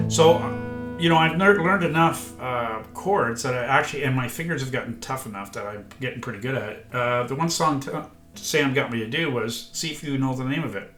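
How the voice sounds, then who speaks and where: Older man, rambling, in an echoey room. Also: mid-range voice. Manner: rambling, self-deprecating, folksy. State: congested, recovering from illness. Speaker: vlogger learning guitar. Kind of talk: casual vlog chat. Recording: echoey room, guitar playing.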